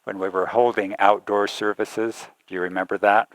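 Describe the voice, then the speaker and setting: A man's voice: fairly low pitch, flat delivery, moderate pace, no room sound, poor audio. A man interviewing a church member, hesitant and repeating words as he asks questions.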